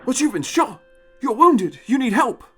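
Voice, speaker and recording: A man's voice: very high-pitched, big pitch swings, normal speed, over music, pitched above the performer's own voice. A man voicing an earnest, emotional young space traveller in a storybook, urgent and impassioned. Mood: upset.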